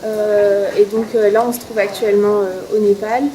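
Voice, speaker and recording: A 21-year-old woman student introducing her association to the camera, halting with frequent fillers. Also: mid-range voice, flat delivery, dark tone, poor audio. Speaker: student association vice-president. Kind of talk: casual presentation to camera.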